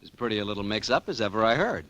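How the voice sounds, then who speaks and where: A man's voice: lowish voice, expressive, very fast, a bit bright, no echo, highs cut off. A man announcing in 1940s radio style, with folksy, enthusiastic salesmanship. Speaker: announcer and sponsor spokesman.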